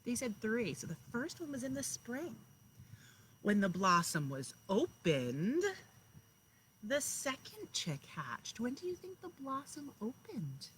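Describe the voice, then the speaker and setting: A woman kindergarten teacher talking and reading aloud to young children, speaking to them directly and pausing to explain and ask questions. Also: fairly high pitch, sing-song, fairly bright tone, slight background noise, low-quality recording.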